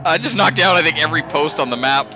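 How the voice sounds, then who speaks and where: A man's voice: on the high side, fast talker. A man chatting casually with friends as he plays an online racing game, car engines audible behind him. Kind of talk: casual gaming chat with friends. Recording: loud race car engine noise. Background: race car engines from a video game.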